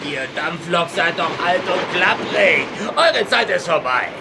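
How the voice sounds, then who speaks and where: An actor playing a gruff, unfriendly diesel engine that mocks and cackles in a radio play. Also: male voice, sits high, lively intonation, measured pace, heavy background noise, heavily acted. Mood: mocking. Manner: mocking, cackling laughs. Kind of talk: German radio play acting.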